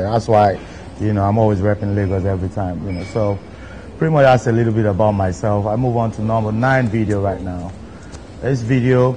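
A Nigerian man in his thirties, a DJ sitting in a studio armchair and talking to camera in a relaxed way, with lots of "you know" fillers. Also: lowish voice, slight background noise, poor audio. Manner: laid-back, frequent "you know" fillers. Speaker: DJ hosting his own video countdown. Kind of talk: relaxed seated talk to camera. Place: studio set, seated in armchair.

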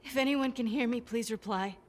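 A woman's voice: fairly high pitch, brisk, darkish tone, a little hiss or hum, lightly acted. An actress playing a determined, hopeful young American woman, a weary fighter speaking plainly and earnestly in a post-apocalyptic game. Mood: anxious, pleading. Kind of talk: naturalistic game cutscene acting. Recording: music behind the voice.

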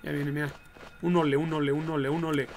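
A man's voice: on the high side, level tone, fast talker, slight background noise. A young adult man with a Mexican accent, giving casual, slangy, foul-mouthed and jokey commentary on a game livestream. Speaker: livestream gamer.

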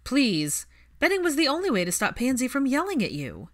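A woman narrator plays a brash young best friend, nosy and gleefully teasing. The voice jumps high and loud, in audiobook storytelling. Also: huge pitch swings, fairly quick, full character voice.

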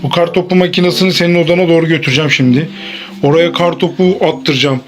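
A woman's voice: deep voice, steady tone, fast, soft background music. A woman improvising playful pretend play with children, exclaiming and repeating her instructions.

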